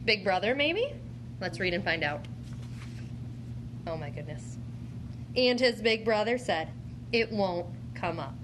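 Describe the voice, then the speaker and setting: A woman's voice: mid-range voice, very animated, measured pace, long pauses. A woman teacher demonstrating an interactive read-aloud, speaking to a child in an encouraging, questioning way. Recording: clear background noise.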